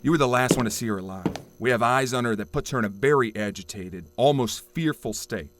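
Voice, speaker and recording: A man's voice: mid-range voice, brisk, slight background noise, natural voice. A man playing a dogged, irritable, confrontational police detective in a noir audio drama. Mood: accusing. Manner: confrontational, blunt.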